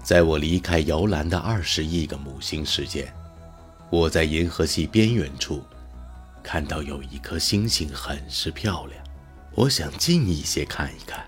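A man playing an elderly, wistful, unhurried god who wanders the stars, in an audio drama. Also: a bass voice, faint music behind. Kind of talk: Mandarin audio drama acting.

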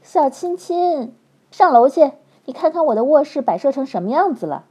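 A woman's voice: high voice, big pitch swings, normal speed, mellow, voice pushed up. A woman voicing a clingy, heavily made-up young widow who talks in a coaxing, baby-talk way.

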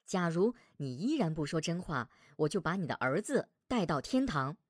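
A man voicing a stern yet merciful heavenly holy woman in a storybook tale, speaking in a measured, solemn, admonishing way. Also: on the high side, big pitch swings, no echo, studio quality, pitched above the performer's own voice. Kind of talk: storybook character voice.

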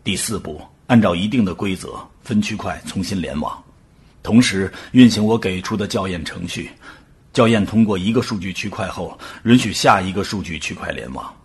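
A man playing a grave professor, giving a measured, formal briefing in an audio drama. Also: low voice, breathy edge, exaggerated voice. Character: grave male professor calmly briefing world leaders.

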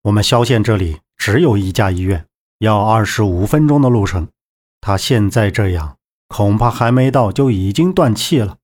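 A man's voice: fairly low pitch, expressive, normal speed, darkish tone, voice pushed down. A man voicing a grave, composed man in an audiobook, giving bad news in a sober, matter-of-fact way. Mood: grim, composed. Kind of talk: audiobook character voice.

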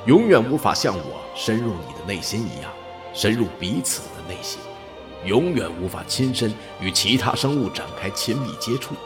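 A man's voice: mid-range voice, lightly acted. A man acting in a radio drama as a philosophical alien creature who pities humans, reflective and declamatory. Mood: pitying.

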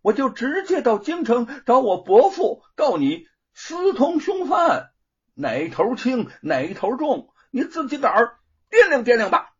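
A storyteller voicing an arrogant, loud, bullying rich young man with a husky voice. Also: medium pitch, sing-song, a little slow, lo-fi sound, voice pushed up, full character voice. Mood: menacing. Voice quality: loud, blustering.

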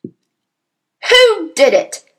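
Giant raging headmistress from a kids story, bellowing insults, slow and loud, voice jumps around. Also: female voice, very high voice, big pitch swings, pitched above the performer's own voice, full character voice. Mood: roaring fury. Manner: roaring, accusing, insulting. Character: huge, furious, bullying female headmistress.

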